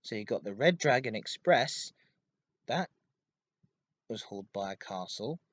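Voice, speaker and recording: A man hosting a hobby podcast, giving an informative enthusiast monologue in a halting way with stressed intensifiers. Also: on the low side, lively intonation, no room sound. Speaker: podcast host. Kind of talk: informative enthusiast monologue about railway history.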